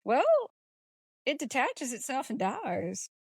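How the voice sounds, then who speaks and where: A woman's voice: a little high, sing-song, slow pace, breathy edge, no echo, clean studio sound. A woman co-hosting a podcast, discussing a film casually in a blunt, slangy, informal way. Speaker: podcast co-host.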